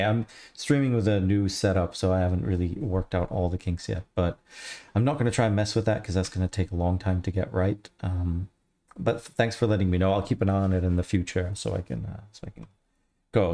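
Relaxed low man with gravelly voice. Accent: mixed Australian, Irish and American. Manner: relaxed, rambling, answers chat questions. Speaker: livestreamer, 3D artist. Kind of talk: casual livestream chat. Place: home studio, indoors. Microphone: headset.

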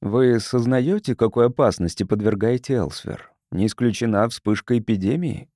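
A man voicing a calm, curious sociologist from Earth in an audiobook, measured and polite. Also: medium pitch, no echo, clean studio sound, close to an everyday voice.

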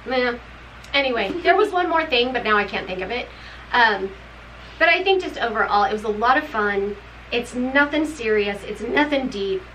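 A woman's voice: fairly high pitch, animated, normal speed, a bit bright, slight background noise, low-quality recording. A middle-aged woman co-hosting a book club video, talking casually about a novel she read, rambling and laughing often. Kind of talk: casual book review chat. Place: home room with bookshelves.

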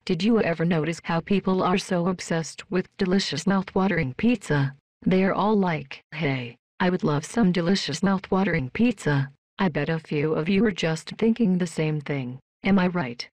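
A woman voicing a polite, emotionless female robot worker in a video game, with flat, stilted, deadpan delivery. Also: lowish voice, normal speed, no echo, close to an everyday voice. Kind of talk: scripted video game voice lines.

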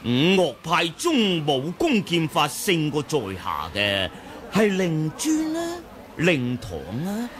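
A smug, mocking sect leader. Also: male voice, a little high, wildly swooping pitch, takes it slowly, a bit bright, slight background noise, full character voice. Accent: Hong Kong Cantonese. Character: scheming powerful sect leader, middle-aged man, smooth and mocking. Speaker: sect leader seeking to head the alliance. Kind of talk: 1980s radio drama acting. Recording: old radio broadcast with music and crowd behind.

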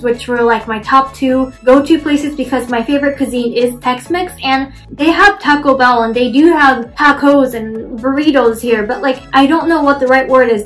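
A woman's voice: high voice, low-quality recording. A young American woman from Florida chatting to camera in her small bedroom, casual and self-deprecating, with lots of "like" fillers. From Florida, USA. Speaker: vlogger. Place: small bedroom apartment, indoors. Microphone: camera in front at desk distance.

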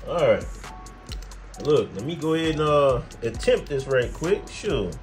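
A man's voice: on the high side, animated, unhurried. An American man giving a casual hands-on how-to, folksy and thinking aloud as he works. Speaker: host, car mechanic. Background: music.

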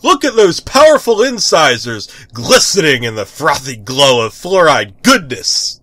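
A man's voice: a little high, sing-song, a little slow, bright, forceful tone, no echo, voice pushed up, heavily acted. A man voicing an enthusiastic, dim-witted superhero who makes grandiose proclamations in a comic story reading. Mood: righteous, bombastic.

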